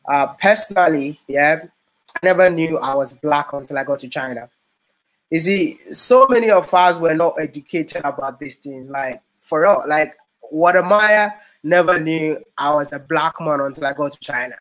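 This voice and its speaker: A man's voice: on the high side, moderate pace, fairly bright tone, poor audio. A young man from Ghana hosting a discussion, speaking earnestly and eager to add his point. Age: young adult. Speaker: host. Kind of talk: casual discussion.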